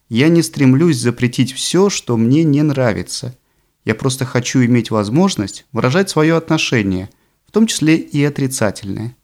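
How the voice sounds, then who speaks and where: A man with a medium-pitched voice reads as an audiobook narrator. His delivery is scripted and deliberate. He sets out each phrase in a measured way, as prose read from a book. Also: darkish tone.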